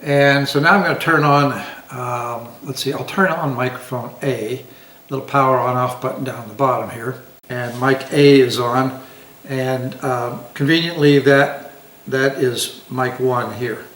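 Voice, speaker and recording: A man's voice: mid-range voice, fairly even intonation, measured pace, a bit bright. An older man, sixty or above, giving a casual product demonstration in an easygoing, folksy, plain-spoken way. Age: 60s or older. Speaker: product reviewer. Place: home dining room, indoors. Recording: echoey room, distant microphone.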